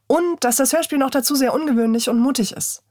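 A woman presents a scripted podcast announcement as host. Her voice is slightly high and recorded very dry. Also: fast, darkish tone, dry recording.

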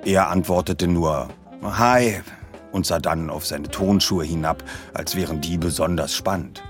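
A man giving a measured, clearly articulated scripted narration of a detective story. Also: deep voice, music in the background.

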